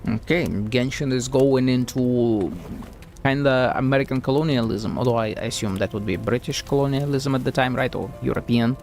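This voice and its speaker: A man's voice: mid-range voice, normal speed, a little mellow, soft background music. A man giving thinking-aloud commentary as a game streamer, hesitant and musing.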